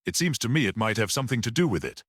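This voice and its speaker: A man voicing a mild, quietly grave little priest-detective in an audiobook, gentle and matter-of-fact. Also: mid-range voice, very animated, racing, slightly rough, no echo, studio quality.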